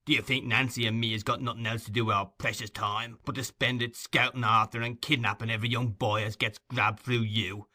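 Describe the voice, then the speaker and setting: Man acting a surly, forceful London thug. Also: mid-range voice, flat delivery, a little fast, few pauses. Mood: demanding. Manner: blunt, threatening, curt. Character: gruff, menacing London thug, brutal and surly.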